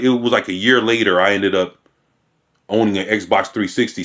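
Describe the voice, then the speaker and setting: A man's voice: fairly low pitch, fairly bright tone. A man giving a casual commentary. He reads a news piece aloud and breaks off into blunt, profane remarks, often restarting his sentences. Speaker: video commentator. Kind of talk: casual reaction rant reading news aloud.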